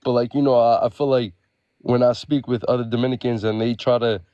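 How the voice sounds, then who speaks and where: A man's voice: mid-range voice, flat delivery, a little fast, mellow, no echo. A man from the Bronx, talking in a hesitant, agreeable way with many fillers in a casual podcast discussion. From the Bronx, New York. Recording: dull, dry lo-fi sound.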